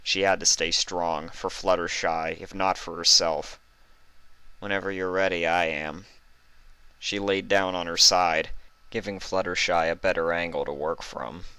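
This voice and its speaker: A man with a low voice narrates a story from a script. His tone is bright and forceful, and the sound is close and dry. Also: fairly even intonation, moderate pace, few pauses, clear, resonant tone, no room sound. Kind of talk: scripted fan fiction narration.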